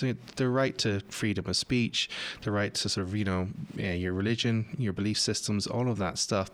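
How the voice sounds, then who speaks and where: A man's voice: deep voice, fairly bright tone. A man with an Irish accent, talking as a guest on a talk show, hesitant and full of fillers and restarts. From Ireland. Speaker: guest commentator.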